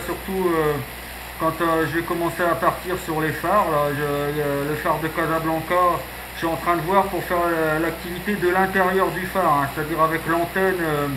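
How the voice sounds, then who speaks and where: Higher-voiced man chatting amid clear noise. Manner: rambling, punctuates phrases with filler tags. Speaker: amateur radio operator. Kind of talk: casual radio contact chat. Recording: clearly noisy radio contact recording.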